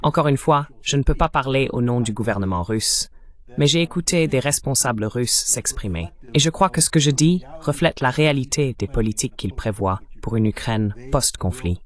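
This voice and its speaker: Guy doing voice-over translation of political commentary, very animated intonation, mid pitch. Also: moderate pace. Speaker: voice-over translator of an interview guest.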